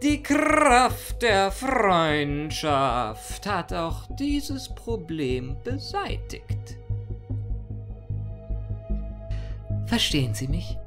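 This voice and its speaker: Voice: medium pitch, sing-song, slow pace, a bit bright, soft background music. A performer voicing an immortal, mischievous chaos creature in a theatrical fan audio monologue, mock-formal, sardonic and playfully rambling. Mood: gleeful mockery. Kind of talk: theatrical first-person character monologue in a fan audio story.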